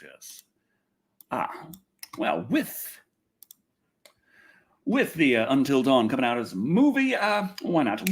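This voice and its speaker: A man with a husky voice chats casually as a livestream host. His pitch jumps around a great deal as he rambles excitably, repeating phrases and swearing freely. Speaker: livestream host and film reviewer.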